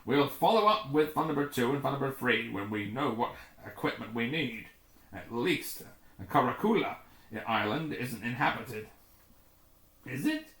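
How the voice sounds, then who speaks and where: A man voicing a brisk rescue commander giving clipped, authoritative orders in a sci-fi adventure story. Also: medium pitch, lively intonation, fairly bright tone, reverberant, voice pushed down. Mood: decisive.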